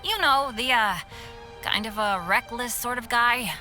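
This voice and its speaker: An actress playing a chatty young adventurer in a video game, casual and nonchalant. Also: female voice, fairly high pitch, measured pace, sharp tone, soft background music. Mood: breezy, nonchalant. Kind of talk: video game cutscene acting.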